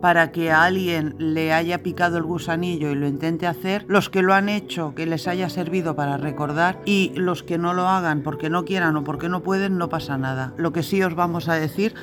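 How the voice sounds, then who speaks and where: A woman casually narrating a walking-trail travel vlog outdoors, chatty and relaxed, with hesitant fillers. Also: lowish voice, moderate pace, hardly any pauses, music in the background. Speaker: vlogger walking the pilgrimage trail with her cousin. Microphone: phone camera held while walking.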